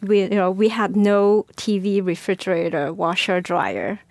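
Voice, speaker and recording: A woman's voice: mid-range voice, unhurried, vocal fry, no echo, studio quality. Woman, hesitant. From China. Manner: hesitant, with fillers and self-corrections. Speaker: guest, former school board candidate. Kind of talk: personal storytelling in an interview.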